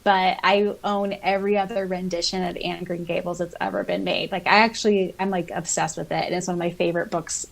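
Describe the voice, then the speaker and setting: A woman's voice: on the low side, level tone, brisk, gravelly, hardly any pauses, rough recording. A woman co-hosting a podcast, chatting casually and gushing with enthusiasm over listener comments and favorite stories. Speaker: podcast co-host. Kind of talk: casual chat, reading and reacting to listener comments.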